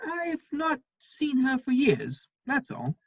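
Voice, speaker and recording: A woman's voice: high-pitched, wildly swooping pitch, slow, husky, exaggerated voice. A woman playing an idle, flippant dandy lord in a period stage comedy, dry in manner, on a slightly muffled microphone. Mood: flippant, teasing.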